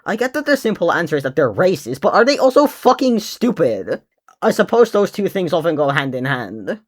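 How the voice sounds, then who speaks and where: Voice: mid-range voice, sing-song, brisk. A young adult native Finnish speaker talking in a casual vlog, blunt and sarcastic, swearing now and then while ranting. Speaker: video creator.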